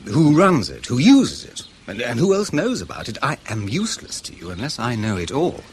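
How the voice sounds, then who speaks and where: An actor playing a sceptical, exacting British intelligence officer in a radio spy drama, educated, dry and probing, with a testy edge. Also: male voice, medium pitch, huge pitch swings, normal speed, slight background noise. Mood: testy, demanding.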